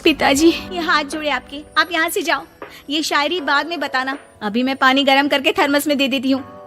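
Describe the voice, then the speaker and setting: A woman voicing a hot-tempered young daughter-in-law in an animated story, snapping and complaining. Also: moderate pace, long reverb tail, soft background music. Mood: irritated. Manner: snappy, complaining, shrill outbursts.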